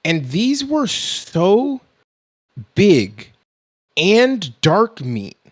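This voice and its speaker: A man's voice: high-pitched, huge pitch swings, drawn-out words. A man co-hosting a podcast, telling stories in casual conversation. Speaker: podcast co-host. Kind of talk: casual chat.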